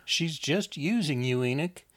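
A man's voice: a little high, lively intonation, a little slow, voice pushed up. A man voicing a wounded, frightened young country woman, pleading and insistent in an audiobook story. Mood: bitter, warning. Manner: folksy, insistent, urgent.

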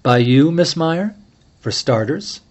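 A calm, formal sleuth who lays out a case with gentle, remorseless precision, as a male narrator plays him. The voice is somewhat raised and evenly measured, a storybook detective in control of the room. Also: on the high side, pitched above the performer's own voice. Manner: measured, mild yet relentless, formal address. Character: calm, incisive middle-aged male amateur sleuth.

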